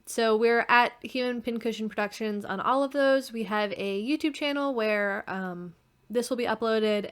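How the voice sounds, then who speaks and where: A woman co-hosting a podcast remotely, speaking in a casual, chatty style. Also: medium pitch. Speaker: podcast co-host. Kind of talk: casual chat. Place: remote recording from a separate location.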